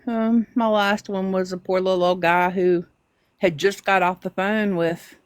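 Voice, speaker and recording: A woman's voice: mid-range voice, fairly even intonation, unhurried, smooth, no room sound. A middle-aged woman hosting a casual livestream, chatting and talking to her viewers as she goes. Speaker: livestream host.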